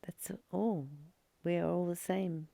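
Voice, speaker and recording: A woman reading a spiritual study text aloud and explaining it informally, in a halting, reflective way with pauses between short phrases. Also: fairly low pitch, fairly even intonation, creaky, dark tone, dry recording. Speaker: spiritual study reader and commentator. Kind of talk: slow read-aloud study with informal commentary.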